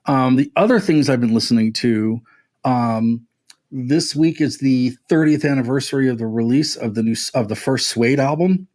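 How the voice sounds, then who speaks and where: A man's voice: mid-range voice, moderate pace, a little mellow. A man talking casually and at length as a music podcast panelist, with an enthusiastic, discursive manner.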